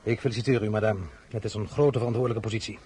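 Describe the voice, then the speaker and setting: An actor playing an imperious, slightly awkward emperor in a radio drama, formal and commanding with hesitations. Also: male voice, on the low side, fairly even intonation, racing, warm tone, a little hiss or hum, close to an everyday voice.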